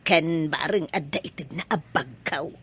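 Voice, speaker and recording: A woman's voice: low voice, measured pace, fairly bright tone, husky, heavily acted. A woman with an Ilocano Filipino accent playing a chatty, meddling villager in a comedy radio drama. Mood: gossipy.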